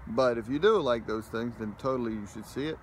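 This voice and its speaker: A man's voice: mid-range voice, fairly quick. A man in his thirties gives a casual, rambling movie review, filmed on a phone at arm's length in a parking lot. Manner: rambling, loose, many fillers and restarts. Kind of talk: casual selfie video review. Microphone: phone camera held close at arm's length. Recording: outdoor phone recording with clear background noise. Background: outdoor ambience with passing vehicles.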